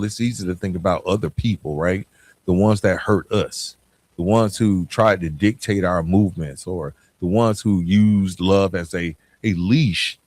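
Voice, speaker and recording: A man hosting a podcast, giving a motivational talk straight to listeners in an emphatic, direct way, repeating phrases for weight. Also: fairly low pitch. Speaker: podcast host.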